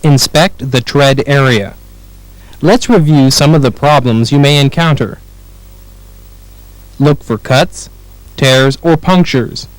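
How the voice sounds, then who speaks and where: A man with an American accent reading scripted instructional narration for a training film in a clear, measured way. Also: mid-range voice, no echo, low-quality recording.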